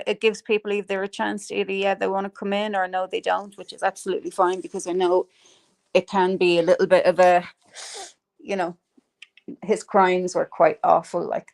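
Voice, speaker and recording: A woman hosts a relaxed live stream, chatting to her audience. She rambles easily, full of ums, restarts and quick laughs, at a middling pitch. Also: vocal fry. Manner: chatty, lots of fillers and laughs. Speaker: livestream host. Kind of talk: casual chat on a live stream.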